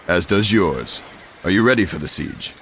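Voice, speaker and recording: Deep-voiced, calm war strategist. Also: male voice, normal speed, vocal fry, slight background noise, heavily acted. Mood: composed. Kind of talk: video game cutscene acting.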